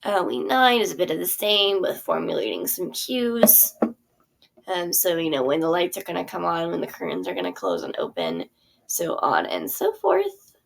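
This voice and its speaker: A woman's voice: lowish voice, huge pitch swings, moderate pace, creaky. A woman teacher casually walking through her theater curriculum, chatty and full of fillers. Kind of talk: casual walkthrough of a product.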